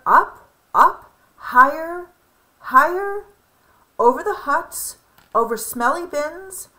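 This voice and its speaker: A woman reads a children's story aloud with a bright, fairly high voice. Her delivery is expressive and deliberate, with plenty of rise and fall. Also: low-quality recording. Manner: animated, emphatic, deliberate. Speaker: storybook reader. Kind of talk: expressive read-aloud of a children's picture book.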